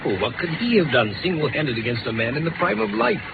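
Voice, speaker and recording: A man playing a courteous, curious gentleman sidekick and old soldier in a 1950s radio drama, speaking hesitantly and inquisitively. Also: fairly high pitch, big pitch swings, fast talker, gravelly. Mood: puzzled. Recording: noisy, phone-like old radio recording.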